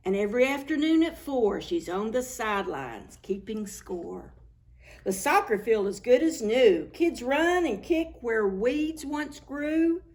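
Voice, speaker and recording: High-pitched, animated woman reading to children. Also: a little slow. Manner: expressive, exclaiming, talks to young children. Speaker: children's librarian hosting story time. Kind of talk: animated picture-book read-aloud for preschoolers.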